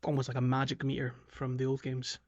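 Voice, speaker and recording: A man's voice: mid-range voice, monotone, quick pace, a little mellow, dull audio. A man speaks in a middle-range voice as co-host of a podcast. He talks informally and conversationally, walking through a video game as he goes. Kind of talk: casual video game discussion.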